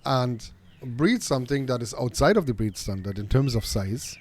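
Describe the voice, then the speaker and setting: A man's voice: mid-range voice, expressive, normal speed. A man hosting a podcast and speaking as a dog trainer, talking earnestly and with strong opinions, often restarting his sentences. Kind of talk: casual interview chat.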